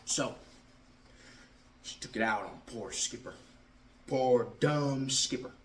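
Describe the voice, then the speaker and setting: A man performing a stage monologue as a bitter, drunken Southern ex-athlete confronting his father, halting and repeating his words. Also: mid-range voice, a bit bright. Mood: resentful. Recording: roomy, distant mic, faint noise.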